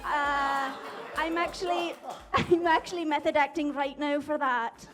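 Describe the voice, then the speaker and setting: A woman's voice: sits high, steady tone, measured pace. A woman playing a vain former child actor who puts on a Scottish accent and giggles through a self-important ramble. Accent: put-on Scottish.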